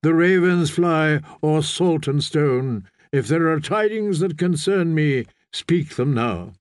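A man's voice: a little high, expressive, slow pace, dry recording, clean studio sound. A man voicing a stern, fervent sea-god priest in a fantasy audiobook, solemn and commanding. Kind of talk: dramatic fantasy audiobook character voice.

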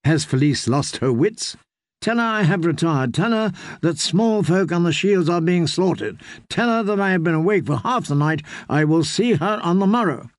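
Dude doing a bossy queen voice, lifted up, sharp and cold. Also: sits high, expressive, normal speed, no echo, clean studio sound, voice pushed up. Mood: imperious. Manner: cutting, commanding. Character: imperious, scornful queen.

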